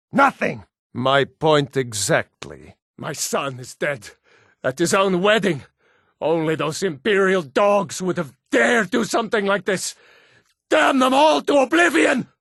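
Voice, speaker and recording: A proud, middle-aged Nord father in a fantasy video game, full of bitterness and scorn. He speaks forcefully in a mid-range voice, recorded very dry. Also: medium pitch, no room sound, heavily acted. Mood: bitter grief. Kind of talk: video game character dialogue.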